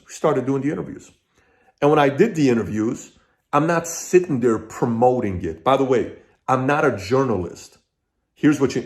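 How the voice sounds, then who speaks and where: A man's voice: mid-range voice, a little mellow. A middle-aged man from Iran hosting his own channel, talking straight to his viewers in an emphatic way, working through his points as lists and often restarting. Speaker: channel host. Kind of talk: direct-to-camera commentary.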